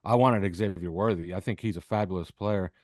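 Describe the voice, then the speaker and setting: A man's voice: sits low, a little fast, darkish tone, no echo, clean studio sound. A man speaking off the cuff as a football analyst on a podcast, making emphatic points and checking in with short questions. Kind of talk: unscripted sports analysis.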